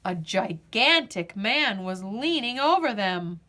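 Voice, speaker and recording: A woman reading a children's picture book aloud as storyteller, in an expressive, deliberate manner. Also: medium pitch, slight background noise.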